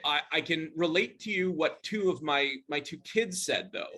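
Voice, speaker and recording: A man's voice: fairly high pitch, lively intonation, moderate pace, sharp tone. A middle-aged man, casually telling a personal story on a video call. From Montreal, Canada. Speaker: club member telling a personal ghost story.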